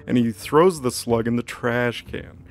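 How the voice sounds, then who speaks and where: Gravelly man telling a story, deadpan. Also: medium pitch, unhurried, soft background music. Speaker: co-host retelling a story recap. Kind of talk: casual comedic storytelling, improvised dialogue.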